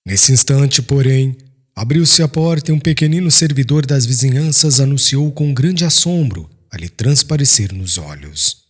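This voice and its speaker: A man's voice: mid-range voice, expressive, moderate pace. Solemn Brazilian male audiobook narrator. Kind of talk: solemn scripted literary narration. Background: soft music.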